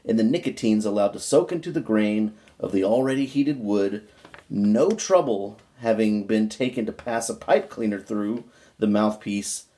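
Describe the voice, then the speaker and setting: A man's voice: on the low side, moderate pace, mellow. Man reading an old book aloud. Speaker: amateur book reader.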